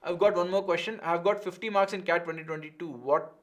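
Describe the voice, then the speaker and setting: A man's voice: sits high, steady tone, a little fast. A man with an Indian accent teaching a live online coaching session, explaining frankly with rhetorical questions and answering viewers. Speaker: exam coaching instructor.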